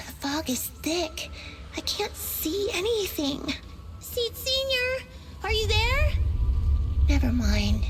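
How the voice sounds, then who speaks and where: A young girl pilot from a video game. Her voice is very high and girlish, and she speaks slowly with open, earnest emotion. Music plays clearly behind her. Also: heavily acted. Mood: sad, hurt.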